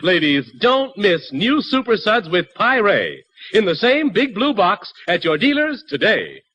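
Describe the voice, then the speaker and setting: Casting: male radio announcer, forties style, bright salesmanlike delivery with big melodic swings. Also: high voice, wildly swooping pitch, unhurried, lo-fi sound. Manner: emphatic, salesmanlike. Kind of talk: 1940s radio announcing and ad pitch. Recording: noisy 1940s radio broadcast.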